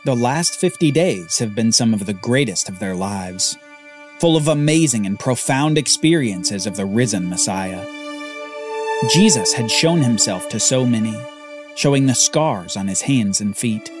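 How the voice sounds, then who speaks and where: A man hosting a podcast, telling a Bible story as scripted narration with dramatic pauses. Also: mid-range voice.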